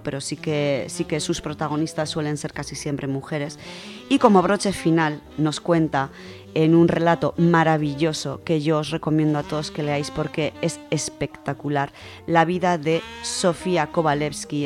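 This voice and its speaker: A woman's voice: low-pitched, a little mellow. Spanish woman reviewing books over music. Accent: Spain. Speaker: literary segment contributor on a radio show. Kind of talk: conversational book review on radio. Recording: music under the voice. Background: background music.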